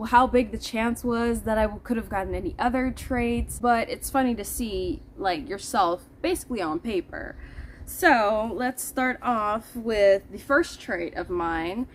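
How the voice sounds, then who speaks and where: High-voiced woman vlogger, noisy background. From Netherlands. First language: Dutch. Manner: chatty, playful, giggly. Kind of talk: casual vlog chat to viewers. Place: outdoors in the heat.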